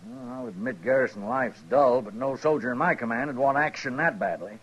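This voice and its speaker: An actor playing a stiff, defensive army major in an old radio western, formal and authoritative. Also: male voice, medium pitch, sing-song, fairly quick, vocal fry, a bit bright, husky, exaggerated voice. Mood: irritated, official. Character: stiff, defensive cavalry major, grown man. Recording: dull old radio recording.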